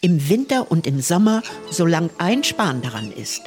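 An actress playing a grave widow in a literary radio play, speaking in stark, terse statements over music. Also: female voice, on the low side, very animated, moderate pace, darkish tone. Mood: stark, firm. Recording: faint music behind.

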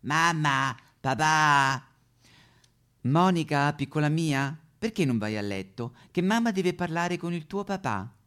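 A man's voice: fairly high pitch, expressive, slow pace, sharp tone, smooth, exaggerated voice. A man playing a needy, sentimental, exasperated wife and mother in a satirical stage sketch. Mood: coaxing.

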